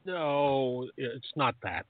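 A man acting a grumpy, lovesick older man in a radio play, with a gruff, hesitant manner. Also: mid-range voice, animated, very slow, dry recording. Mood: grumpy, bitter. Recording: dry, thin phone-like sound.

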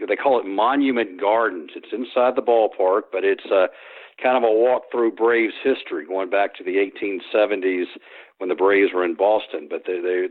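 A man, a sports historian, answering interview questions by phone, rambling with frequent restarts and fillers. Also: low-pitched. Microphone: telephone. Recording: tinny phone line.

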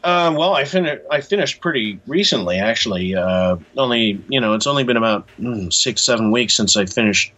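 An American man being interviewed, explaining things casually in a halting, thoughtful way. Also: medium pitch, lively intonation, sharp tone, highs cut off. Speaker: interview guest, author.

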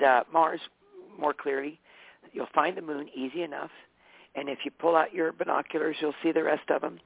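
A man with a tired, hoarse voice, a regular guest on a casual talk show, chatting with warmth, laughing often and correcting himself as he goes. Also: fairly high pitch, no echo. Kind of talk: casual radio show chat. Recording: dry, phone-line sound.

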